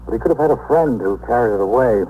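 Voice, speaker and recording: A man's voice: mid-range voice, animated, fast, narrow, tinny audio, natural voice. An actor playing a practical, plain-spoken police detective reporting to his superior in a 1950s radio drama. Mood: businesslike. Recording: noisy, phone-thin 1950s radio recording.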